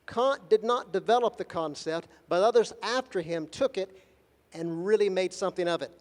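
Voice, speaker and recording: A man giving a formal conference lecture in measured, deliberate phrases with gentle humor, pausing for an interpreter. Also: high-pitched. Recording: long hall reverb.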